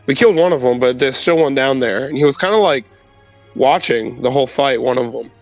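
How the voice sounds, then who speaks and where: A man playing a tabletop game, answering casually and haltingly, rephrasing as he goes. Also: medium pitch, dry recording, soft background music, rough recording. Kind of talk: casual in-character game chat.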